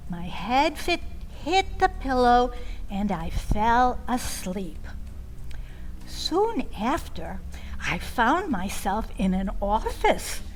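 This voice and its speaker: A woman telling a first-person story in a theatrical way, with dramatic, deliberate delivery, pauses and little asides. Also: a little high, rough recording.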